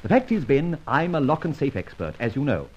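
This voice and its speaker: A flippant gentleman adventurer in an old radio drama, husky and fairly high for a man. His speech swoops widely through urbane, mannered phrases with amused self-assurance. The sound is a muffled old transcription. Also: huge pitch swings, fairly quick, full character voice. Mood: bantering, confident.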